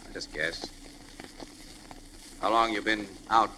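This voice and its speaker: An actor playing a breezy, wisecracking American newspaper crime photographer in a 1940s radio drama. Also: male voice, on the low side, slow, pushed and bright. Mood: easygoing, knowing. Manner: wisecracking, slangy, easygoing. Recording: noisy old radio broadcast recording.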